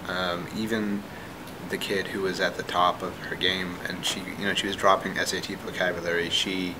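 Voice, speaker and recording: A man of twenty-two, a new teacher, giving a candid spoken account over background noise. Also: low-pitched. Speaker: teacher in training. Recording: clearly noisy ambient recording.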